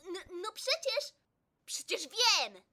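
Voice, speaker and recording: Anime teen rival female actor: piercingly high, animated, haughty, flustered. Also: takes it slowly, heavily acted. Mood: flustered, defensive. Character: proud, easily flustered teenage girl rival. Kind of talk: anime fan dub acting.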